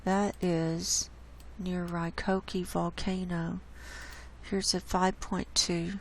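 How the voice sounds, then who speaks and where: A hoarse woman hosting her own channel, walking casually through earthquake maps on screen and pointing out each spot with an unhurried, gravelly delivery. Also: lowish voice, little pitch movement. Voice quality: gravelly, coughs and clears throat. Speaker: online science channel host. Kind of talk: casual screen-share data report. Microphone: desk mic.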